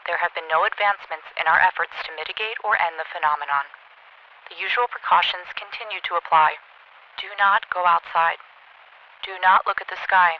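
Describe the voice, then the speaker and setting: A man playing an impassive emergency alert announcer through a radio filter, speaking in a deliberate, official manner. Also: high-pitched. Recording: dull, band-limited radio broadcast.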